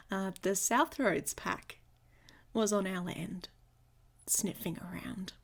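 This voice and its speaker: A woman playing a hot-tempered, protective she-wolf in an intimate ASMR roleplay, with a husky, breathy voice and a blunt, sardonic manner. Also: mid-range voice, slow, exaggerated voice. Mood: wary, concerned. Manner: sardonic, blunt, speaking straight to the listener.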